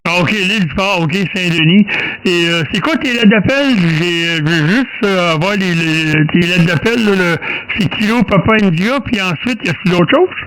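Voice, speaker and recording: A man's voice: high voice, moderate pace, a bit bright, a little hiss or hum, low-quality recording. A man in his thirties with a Québécois accent, chatting casually and joking over an amateur radio contact, with many hesitations. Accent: Québécois French. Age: 30s. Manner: hesitant, full of fillers and joking.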